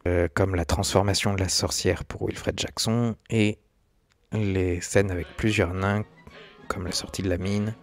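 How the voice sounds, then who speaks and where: A man's voice: sits low, fairly even intonation, measured pace. A man reading a scripted documentary narration, now and then stumbling slightly over the script. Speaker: host of an animation history video channel. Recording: dry, close, with background music.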